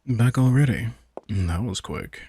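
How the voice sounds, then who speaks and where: A man's voice: on the low side, unhurried, creaky, mellow, a little hiss or hum. A man playing a sardonic, laid-back vampire king in an intimate audio roleplay, teasing and manipulative as he speaks casually to the listener. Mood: relaxed, curious. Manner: laid-back, wry, teasing, informal swearing.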